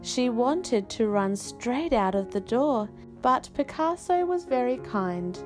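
A woman reading a children's picture book aloud with expressive, careful, unhurried phrasing and dramatic pauses. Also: fairly high pitch, soft background music.

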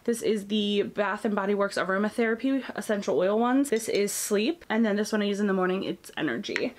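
Chatty woman vlogging while tidying. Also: fairly high pitch. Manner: chatty, rambling, thinking aloud while tidying. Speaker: beauty YouTuber. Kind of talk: casual cleaning vlog chat. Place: bedroom beauty area with shelves, indoors. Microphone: camera mic, moving toward and away from it. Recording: noisy room tone with clicks.

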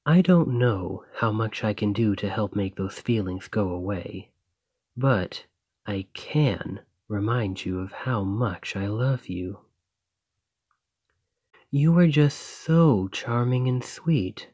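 A man playing a devoted, gentle boyfriend in a romantic ASMR roleplay, soft and husky, with a tender, reassuring manner. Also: fairly low pitch, lively intonation, takes it slowly, no room sound, clean studio sound, exaggerated voice.

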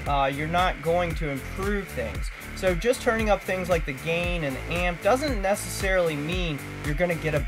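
A tech tutorial host giving a casual hands-on demonstration in a cautionary, instructive manner. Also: mid-range voice.

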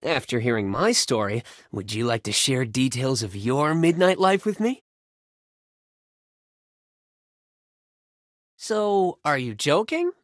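Man acting a boyish, animated young pop singer. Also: mid-range voice, normal speed, no echo, studio quality, close to an everyday voice. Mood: amused. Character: playful, affectionate young male pop singer. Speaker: voice actor in a mobile romance game. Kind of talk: scripted game phone-call dialogue.

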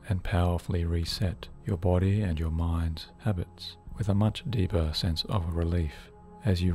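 A man narrating a guided sleep hypnosis session in a soothing, measured, deliberate way, as a hypnotherapist. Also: sits low, darkish tone. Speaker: hypnotherapist host. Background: background music.

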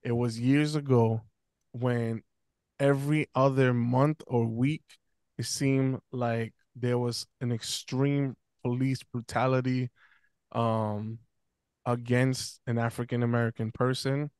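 A man's voice: medium pitch, level tone, takes it slowly, darkish tone, clear, resonant tone, no room sound. A young man on a video call, speaking earnestly into a close desk mic, with many fillers and restarts. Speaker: podcast guest speaker. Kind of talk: casual panel discussion. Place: small room at home, video call. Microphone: close desk mic on stand.

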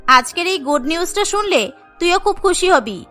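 A woman voicing a kind-hearted young rich bride in a cartoon, who speaks warmly and stands firm for her friend. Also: very high-pitched, animated, normal speed, faint music behind, heavily acted. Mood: excited. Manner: warm, firm when defending friend.

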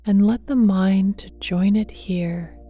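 A woman leading a guided meditation in a gentle, hushed voice that often turns breathy and near a whisper, speaking tenderly to the listener. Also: mid-range voice, fairly even intonation, takes it slowly, no room sound. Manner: tender, affectionate address to listener. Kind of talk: guided meditation, slow and soothing. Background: soft meditation music with singing bowls.

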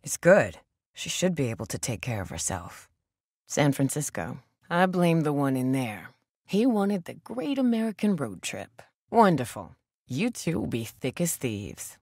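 A woman's voice: low-pitched, very animated, a little slow, a little mellow, breathy edge, no room sound, full character voice. A woman voice actor playing a guarded, blunt, protective young survivor of a zombie apocalypse in a video game, with a dry delivery. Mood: dry, cautionary.

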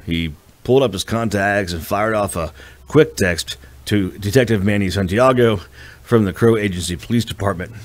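A man reading a novel as a scripted audiobook narration. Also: on the low side.

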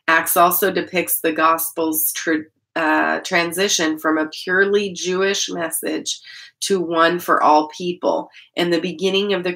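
A middle-aged American woman with a deep voice, talking in a chatty, rambling way like a casual devotional vlogger. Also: a bit bright. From Idaho, United States. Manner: rambling, chatty, often breaking off and restarting sentences. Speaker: host of a Bible-reading channel. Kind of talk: casual devotional vlog chat. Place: small indoor room at home.

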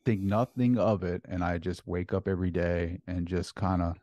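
A man's voice: low-pitched, steady tone, moderate pace, warm tone, dry recording. A young man hosting an interview, asking questions casually with lots of "like" and "right?". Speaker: podcast host. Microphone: studio mic on boom arm, headphones.

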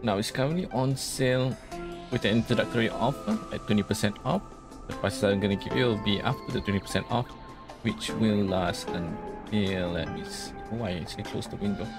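A man's voice: fairly low pitch, moderate pace. Male gamer commentating over music. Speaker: let's play host. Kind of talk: gameplay commentary. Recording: music behind the voice.

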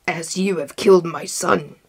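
A woman's voice: lowish voice, fairly even intonation, moderate pace, slightly rough. A woman voicing an enormous enraged male genie in a storybook, speaking in menacing, emphatic declarations. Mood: menacing rage.

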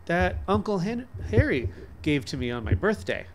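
A man voicing a lively little girl who pleads in childish grammar in a story. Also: high voice, moderate pace, a little hiss or hum, voice pushed up. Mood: eager. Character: lively, pleading little girls wanting to play.

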